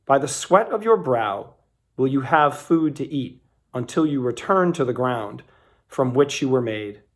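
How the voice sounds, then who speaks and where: A man's voice: medium pitch, lively intonation, unhurried. A man voicing a stern, commanding God in a scripture reading, emphatic and accusing in manner. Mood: solemn judgment. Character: God, stern commanding male deity passing judgment.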